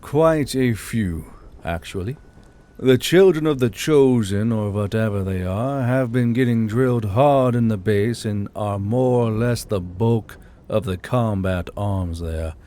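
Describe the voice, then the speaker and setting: A man voicing a gruff, cynical soldier in a story, blunt and sardonic, with faint noise behind. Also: lowish voice, unhurried, mellow, close to an everyday voice. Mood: grim, resigned.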